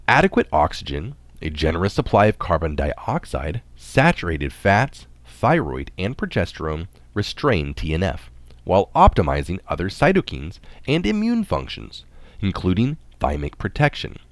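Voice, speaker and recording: Low-pitched man reading an article, lively intonation. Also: moderate pace, dry recording. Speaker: article reader adding his own notes. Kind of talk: reading a health article aloud, with explanatory asides.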